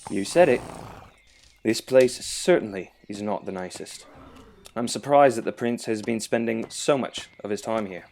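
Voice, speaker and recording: A man's voice: fairly low pitch, moderate pace, creaky, a little mellow, slight background noise. A man playing a polite, earnest captain of the royal guard in an amateur fairytale audio drama. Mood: casual. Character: courteous, eager young royal guard captain.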